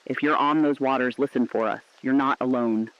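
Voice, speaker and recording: A man's voice: mid-range voice, steady tone, normal speed, close to an everyday voice. A man playing an earnest, defiant radio correspondent in a shelter, giving a solemn, dramatic broadcast on a dull, dry recording. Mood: somber, hopeful.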